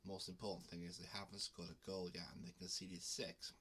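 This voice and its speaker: Guy with a cold, low flat voice, rambling a bit with lots of ums, faint hiss behind. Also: brisk. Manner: relaxed, with frequent ums and restarts. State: has a cold. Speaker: betting channel host. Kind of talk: casual talk to camera.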